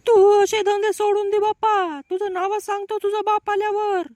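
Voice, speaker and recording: A woman's voice: very high-pitched, level tone, moderate pace, a little mellow, dry recording. A woman voicing a suspicious, nagging elderly village woman with a rural Marathi accent in a comic cartoon. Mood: nagging suspicion. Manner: nagging, scolding.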